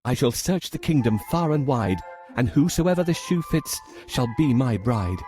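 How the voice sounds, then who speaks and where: A man's voice: medium pitch, expressive, moderate pace, mellow, soft background music, natural voice. A man playing an earnest, lovestruck young prince in a children's storybook, speaking in a declamatory, theatrical way. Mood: dismayed, determined. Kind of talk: children's storybook character acting.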